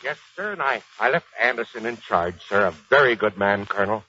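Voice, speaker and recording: An actor playing a gruff, proud, aging American Marine sergeant with folksy, ungrammatical slang in a 1940s radio drama. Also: male voice, lowish voice, expressive, fairly quick, loud music behind the voice, close to an everyday voice. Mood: deferential, chatty. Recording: dull 1940s radio recording.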